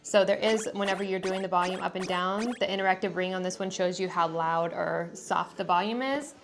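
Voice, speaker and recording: A woman's voice: on the low side, steady tone, brisk, faint music behind. Casual woman presenting a product review. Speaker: parent reviewing kids' toys.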